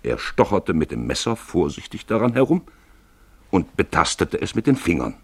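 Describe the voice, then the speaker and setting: A man giving a deliberate, theatrical literary narration for a radio play. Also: sits low, sing-song, slightly rough, no room sound.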